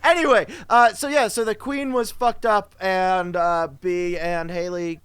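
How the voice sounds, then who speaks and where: A woman with a playful, expressive manner, telling an improvised comedic story. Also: lowish voice, measured pace, smooth, no echo. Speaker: storyteller narrator and cast member.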